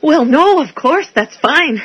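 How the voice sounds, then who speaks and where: A woman's voice: sits high, animated, takes it slowly, fairly bright tone, full character voice. An actress playing an uneasy, apologetic young woman working at a clinic, hesitant in speech, in a 1980s radio suspense drama. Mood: eager, apologetic. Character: nervous, curious young female clinic employee. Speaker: new dental clinic employee.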